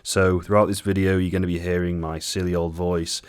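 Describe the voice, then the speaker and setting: Male film reviewer, monotone delivery, low-pitched, dry and self-deprecating, dead-dry sound. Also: fairly quick, clean tone, no room sound. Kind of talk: scripted video essay review.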